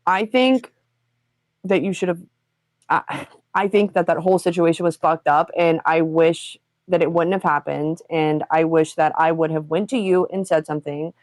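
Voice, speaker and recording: Woman co-host, lowish voice, blunt and direct, cusses a lot, dry close sound. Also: fairly even intonation, normal speed, a little mellow, dry recording. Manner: blunt, pressing questions, frequent swearing. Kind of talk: confrontational livestream talk.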